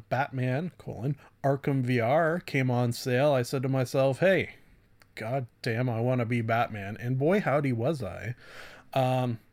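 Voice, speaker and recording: Guy podcast host just rambling about his reviews, mid pitch, slangy, kinda self-deprecating. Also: a little mellow. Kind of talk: casual solo review podcast chat.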